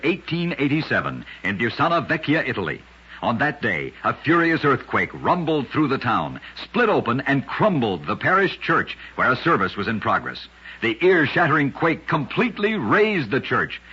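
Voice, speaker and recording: A man's voice: mid-range voice, bright, forceful tone, husky. A man narrating short curiosities for radio in a scripted, dramatic style with emphatic storytelling. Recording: dull lo-fi old radio recording.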